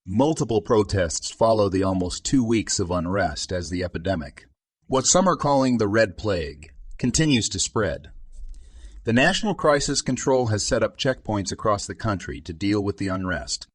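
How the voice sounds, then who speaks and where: A man's voice: fairly low pitch, expressive, normal speed, breathy edge, dry recording, studio quality. A man playing a sober newsreader, delivering a scripted report formally. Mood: grave, formal. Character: sober male newsreader reporting unrest and plague.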